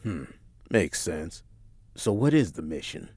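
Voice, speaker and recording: Male actor as gruff undercover operative, gravelly deep voice, slow, terse, composed, audio drama. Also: very animated, a little mellow, no echo, full character voice. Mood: calm, stern.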